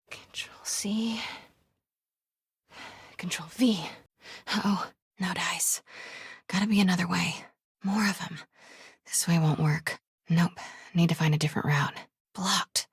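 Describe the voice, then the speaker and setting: A woman voice actress playing a determined young investigative reporter in a video game, with an American accent and a breathy, hushed manner. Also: fairly low pitch, dry recording, clean studio sound, heavily acted. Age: young adult. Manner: hushed, self-talking, breathy.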